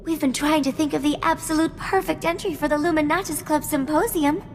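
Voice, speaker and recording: A woman's voice: high-pitched, steady tone, brisk, long reverb tail. An actress playing a perky, girlish, gushing holographic assistant in a video game. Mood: perky, proud. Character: bubbly, girlish, adoring female holographic assistant. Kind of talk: scripted video game acting. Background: music.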